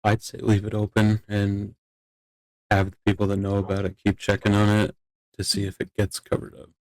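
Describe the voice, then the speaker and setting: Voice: sits low, monotone, moderate pace, dry recording, low-quality recording. A person talking casually in a roleplay discussion, explaining things in a plain-spoken way. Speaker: role-player in a court meeting.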